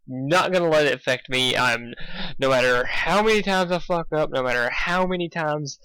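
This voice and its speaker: A man reading fan fiction aloud in a casual, expressive way, stumbling over lines and poking fun at himself. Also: a little high, moderate pace, clear, resonant tone, no echo, poor audio. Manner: self-deprecating, swearing, stumbles over lines. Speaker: amateur fan fiction reader. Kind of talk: casual intro and expressive read-aloud narration.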